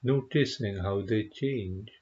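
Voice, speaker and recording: Male meditation guide, lowish husky voice, gentle and very unhurried delivery, slightly muffled recording. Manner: gentle, unhurried, pausing often. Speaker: meditation teacher.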